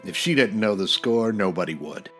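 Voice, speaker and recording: A hard-boiled forties radio detective, low in pitch and impatient. He talks in terse slang with dry sarcasm, and music plays clearly behind him. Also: animated, moderate pace, a bit bright. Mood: terse, wary. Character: hard-boiled 1940s private detective, gruff, sardonic, impatient. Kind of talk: old-time radio detective parody with narration.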